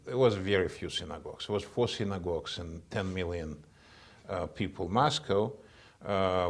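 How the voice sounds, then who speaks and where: A man in his fifties from Russia with a Russian accent, a guest in an interview, talking haltingly with many fillers and restarts. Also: low-pitched. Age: 50s. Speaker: state assemblyman, guest. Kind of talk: casual interview conversation.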